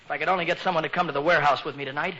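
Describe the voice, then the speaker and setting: An actor playing an eager, self-important American teenage son who fancies himself a private eye, melodramatic and cocky, in 1940s radio comedy style. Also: male voice, fairly high pitch, steady tone, racing, bright, forceful tone. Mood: excited, earnest. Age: young adult. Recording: muffled, noisy 1940s radio recording.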